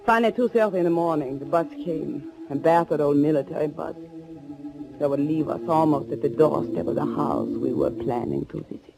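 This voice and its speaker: A woman's voice: low-pitched, sing-song, brisk, creaky, over music, exaggerated voice. An actress playing an anguished young woman in a 1940s radio thriller, speaking in a dramatic, fearful way. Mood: tense narration. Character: anguished young woman fleeing to avenge her lover. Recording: noisy 1940s radio recording.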